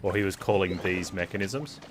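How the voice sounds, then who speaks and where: A man's voice: low voice, brisk, over music. A man streaming a game with casual, offhand commentary, musing aloud as he plays.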